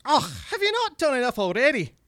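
Little old Scottish vampire, acted by a male reader: high-pitched, sarcastic, wheedling, scolding, for a horror story, close dry sound. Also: huge pitch swings, a little fast, a bit bright, dry recording, full character voice. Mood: pained, irritated.

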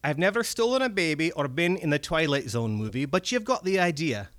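Man putting on a gruff red cap goblin. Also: a little high, very animated, a bit bright, no room sound, studio quality, voice pushed up. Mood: teasing. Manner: gruff, folksy, drawn-out swearing.

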